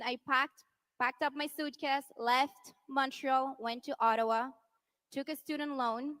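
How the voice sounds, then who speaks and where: A woman in her thirties from Venezuela addresses a rally crowd with passion. Her voice sits high and rings bright. She builds to rousing, forceful peaks on the key lines. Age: 30s. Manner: impassioned, rising to rousing shouts on key lines. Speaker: politician's wife speaking at a rally. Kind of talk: political rally speech.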